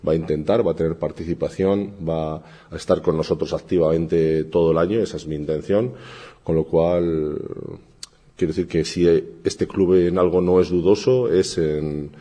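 Middle-aged man, handball coach; very deep, warm, steady delivery, thoughtful and analytical. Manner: analytical, measured, many hesitations. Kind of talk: sports interview soundbite.

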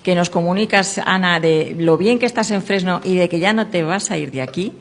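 A woman's voice: fairly low pitch, fairly quick. A woman with a Castilian accent hosting a live radio interview in an effusive, conversational way, with long reverb on her voice. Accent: Castilian Spanish. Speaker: radio host.

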